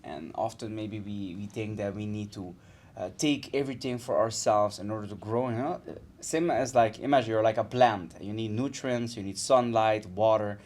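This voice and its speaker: A man from Aruba with a low voice. He talks in a thoughtful, soft-spoken way during a casual podcast chat. He often pauses to search for the right words. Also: slight background noise. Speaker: podcast co-host.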